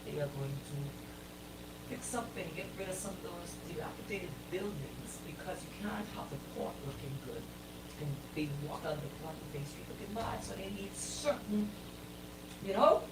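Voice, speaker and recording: A woman's voice: fairly low pitch, expressive, normal speed, very noisy. A woman with a Bahamian accent hosting a radio show with casual, playful, colloquial banter. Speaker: radio host. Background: music bed at times.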